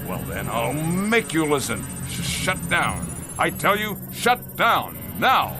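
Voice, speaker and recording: Imperious ousted male executive, wounded pride, animated pitch, classic sci-fi drama, music behind. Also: slow, exaggerated voice. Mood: imperious, frantic. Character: pompous, bitter ousted company boss, neurotic and wounded. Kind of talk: classic science-fiction radio drama acting.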